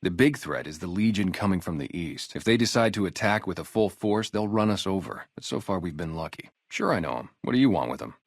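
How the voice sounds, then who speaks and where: Game role: ex-gang sniper on guard duty, male, low register, lively inflection, casual street manner, guarded but loyal. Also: fairly quick, gravelly, few pauses, dry recording, studio quality, heavily acted. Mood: matter-of-fact. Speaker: security guard and former soldier. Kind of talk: video game character dialogue, naturalistic.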